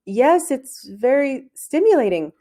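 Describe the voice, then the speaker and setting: A woman's voice: sits high, sing-song, a little slow, warm tone, pitched above the performer's own voice, exaggerated voice. A woman reading the part of a polite, hesitant male schoolteacher in a children's storybook.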